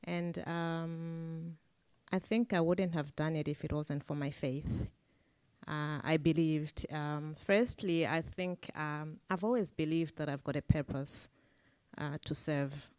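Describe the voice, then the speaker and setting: Woman panelist, low voice, dry close sound, thoughtful and hesitant. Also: fairly even intonation, slow pace, darkish tone, clean tone, no echo. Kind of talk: panel discussion answer.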